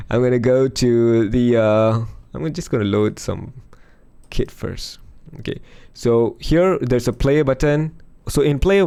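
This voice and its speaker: A man losing his voice, chatting casually through a music software demo over a screen recording. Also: mid-range voice, smooth. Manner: chatty, thinks aloud with fillers. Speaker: music production youtuber.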